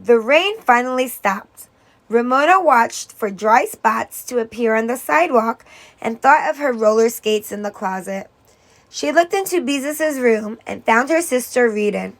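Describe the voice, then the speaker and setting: A woman teacher reading a children's story aloud in a deliberate, expressive storytelling manner. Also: fairly high pitch.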